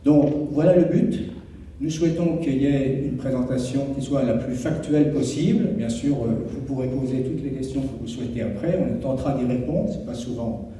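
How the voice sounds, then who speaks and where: A man, a municipal official, gives a formal, explanatory introduction to a public meeting in measured, hesitant phrases. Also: medium pitch, warm tone. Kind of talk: formal public address, partly improvised. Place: echoey indoor meeting room. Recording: echoey hall, distant mic, faint noise.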